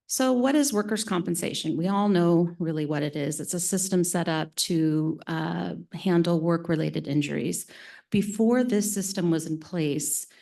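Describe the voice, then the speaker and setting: A woman with a slightly low voice walks a board through a presentation in a meeting room. She keeps it friendly and chatty, with easy laughs, and speaks into a conference microphone. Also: moderate pace, vocal fry, warm tone. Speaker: presenter from claims team.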